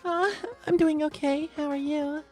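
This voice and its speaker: A man putting on a sugary high-voiced sweet lady. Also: steady tone, a little slow, a little mellow, faint music behind.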